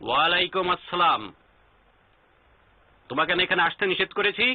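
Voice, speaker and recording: A man acting a stern, suspicious middle-aged father in a TV drama, husky and commanding. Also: high voice, normal speed, no room sound. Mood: gruff. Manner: commanding, scolding. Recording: thin phone-like sound, faint music.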